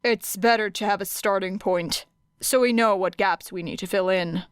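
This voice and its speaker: A woman voicing a gruff, unyielding male teacher in an audiobook, blunt and dry in manner. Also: mid-range voice, normal speed, dry recording, natural voice. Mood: calm, pressing. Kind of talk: audiobook character acting.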